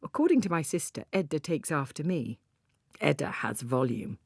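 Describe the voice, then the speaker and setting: A low-pitched woman narrates an audiobook in the first person. Her reading is scripted and literary, and the sound is dry, with hardly any room in it. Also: very animated, moderate pace, vocal fry, darkish tone, breathy edge, no echo.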